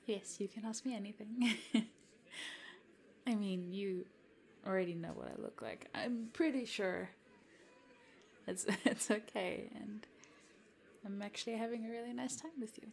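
Slow, gravelly-voiced giggly young woman. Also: mid-range voice, animated, a little hiss or hum. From South Africa. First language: Afrikaans. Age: 20s. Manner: giggly, hesitant, soft-spoken with whispered asides. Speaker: content creator addressing a follower. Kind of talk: roleplay chat to the listener.

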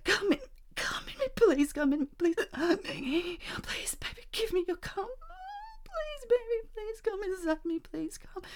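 A woman playing a lustful married teacher in an intimate roleplay, moving between husky whispers and loud breathy cries. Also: very high voice, animated, unhurried. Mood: pleading. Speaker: voice actor in an adult audio roleplay.

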